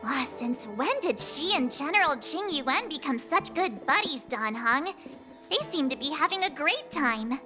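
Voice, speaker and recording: A woman's voice: high voice, expressive, a little slow, over music. An actress voicing a bubbly, curious young girl.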